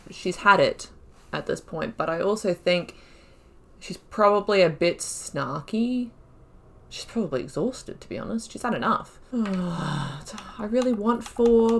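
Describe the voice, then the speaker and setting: A woman's voice: mid-range voice, vocal fry. A woman hosting a gaming video, thinking aloud in casual, informal, wry commentary as she talks through the story.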